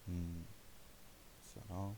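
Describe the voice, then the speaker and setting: Low-voiced caring Kansai boyfriend, acted by a man. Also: flat delivery, slow, noisy background. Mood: concerned, sympathetic. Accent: Kansai Japanese. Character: caring young boyfriend reassuring his anxious girlfriend. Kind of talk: naturalistic one-sided phone-call roleplay audio drama.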